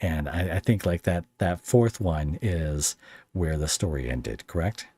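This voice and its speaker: Male podcast host, low register, dry sound, halting conversational delivery, thinks aloud while asking questions. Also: darkish tone, slightly rough, no room sound. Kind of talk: casual interview, reading listener questions.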